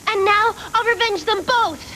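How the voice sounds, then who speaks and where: A woman's voice: very high voice, moderate pace, sharp tone. A woman voicing a devoted, fierce young cyborg warrior girl in an anime dub, earnest and dramatic. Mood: vengeful. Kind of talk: dubbed anime voice acting. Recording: music behind, old VHS tape dub.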